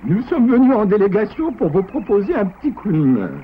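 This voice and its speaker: An eager cartoon forest animal, offers help in a high voice with huge swings of pitch. The sound is thin and narrow, like a small speaker. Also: male voice, sing-song, brisk, slight background noise. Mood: proud, eager. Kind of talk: French cartoon dubbing. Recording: thin, band-limited cartoon soundtrack with music.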